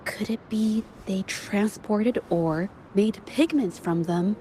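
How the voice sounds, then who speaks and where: A woman playing a polite, thoughtful young female investigator in a video game, speaking in a measured, gentle, analytical way. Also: medium pitch, slight background noise, close to an everyday voice. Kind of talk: video game story voice acting. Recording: music under the voice.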